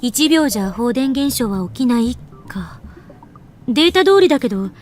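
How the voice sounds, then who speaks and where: A woman voicing a sharp, skeptical young scientist with a brisk, analytical manner in an anime visual novel. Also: fairly high pitch, sing-song, faint music behind.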